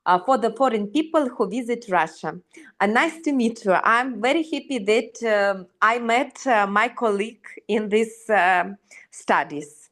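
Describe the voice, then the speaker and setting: Woman on a video call, slow and unsure, lots of uh, higher voice. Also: a bit bright. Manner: hesitant, many pauses and "uh". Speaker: course participant, tour organizer. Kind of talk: hesitant self-introduction.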